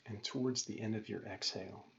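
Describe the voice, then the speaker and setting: A man leading a guided meditation as a yoga teacher, calm and gentle, giving measured instructions with pauses. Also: fairly low pitch, creaky. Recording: dull lo-fi recording with faint noise.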